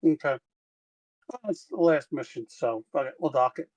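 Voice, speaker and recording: Brief: tabletop player, mid-pitched, casual, inquisitive. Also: moderate pace, darkish tone. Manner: questioning, casual. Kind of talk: casual tabletop game chat.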